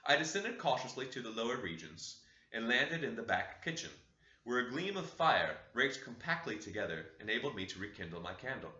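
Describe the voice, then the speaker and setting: Expressive male audiobook narrator. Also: mid-range voice, fairly quick. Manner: expressive, dramatic reading. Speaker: audiobook reader. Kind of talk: audiobook narration of a classic novel. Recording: roomy, dull lo-fi recording.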